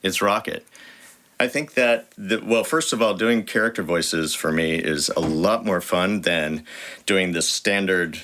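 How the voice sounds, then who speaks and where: A man who is a voice actor, chatting in an interview in an animated, joking way with many restarts. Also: sits low, unhurried. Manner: animated and jokey, with frequent restarts. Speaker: voice actor guest. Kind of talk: casual interview chat.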